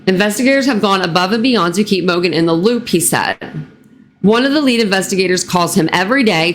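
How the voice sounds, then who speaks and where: A woman true crime vlogger with a raspy, hoarse voice, reading a news article aloud and then talking casually to her viewers with many fillers. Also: medium pitch, slight background noise. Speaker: true crime channel host.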